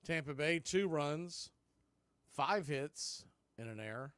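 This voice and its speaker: High-voiced man calling baseball on radio. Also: animated, slow, fairly bright tone, dry recording. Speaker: baseball play-by-play announcer. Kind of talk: radio baseball play-by-play commentary.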